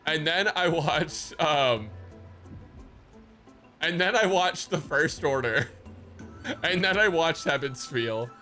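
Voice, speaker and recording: A man's voice: on the high side, lively intonation, slow pace, pauses often, sharp tone, soft background music. A man livestreaming a game reaction, talking casually to his chat in a rambling, sweary, slangy way.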